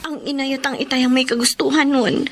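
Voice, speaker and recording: An actress playing a meek, suffering betrayed wife in a Filipino radio drama, speaking in a hesitant, tearful, pleading way. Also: female voice, high voice, steady tone, brisk, crowd noise. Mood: anxious.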